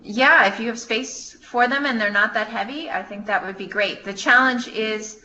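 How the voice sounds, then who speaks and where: Bright-voiced woman on a muffled call. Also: fairly high pitch, moderate pace. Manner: hesitant, explanatory, thinking aloud. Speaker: Peace Corps staff member answering questions. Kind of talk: informal question-and-answer on a conference call. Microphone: conference call. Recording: muffled, noisy conference call line.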